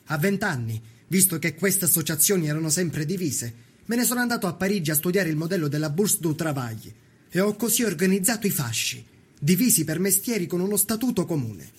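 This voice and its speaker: An actor playing a proud, fervent young Sicilian workers' leader in a dramatised documentary, speaking in declarative, rhetorical phrases. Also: male voice, high voice, expressive, a little fast, breathy edge.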